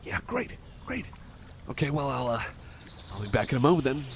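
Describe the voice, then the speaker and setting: A bashful cyborg guy, acted by a man rambles to the listener in an ASMR roleplay. His voice is mid-pitched with a creaky fry, and he hesitates, stammers and apologizes, eager to please. Noisy outdoor ambience with birdsong sits behind him. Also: very animated, fairly quick. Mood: nervously pleased. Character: shy, awkward, eager-to-please young male cyborg buddy.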